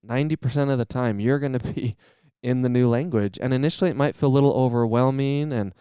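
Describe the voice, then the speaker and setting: A man, a training-center manager and podcast guest, explaining earnestly and enthusiastically in a steady conversational way. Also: medium pitch, fast, smooth, dry recording, clean studio sound.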